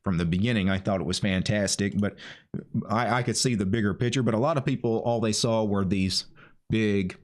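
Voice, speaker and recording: Deep-voiced man hosting a chat. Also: a little fast. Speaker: host of a video chat. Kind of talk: casual chat.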